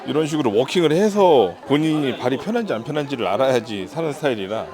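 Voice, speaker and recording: A man's voice: mid-range voice, expressive, fast. A man talking casually as a vlogger husband, narrating and chatting. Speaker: vlogger husband filming his wife. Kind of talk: casual vlog talk and narration. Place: voice-over and on location in an outlet shoe store. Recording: background music and crowd noise. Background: music, shoppers' chatter.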